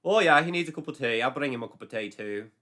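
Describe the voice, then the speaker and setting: A man's voice: mid-range voice, animated, fast talker, sharp tone, voice pushed up. A man voicing a chirpy, obliging housekeeper woman. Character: chirpy British housekeeper woman, eager to serve.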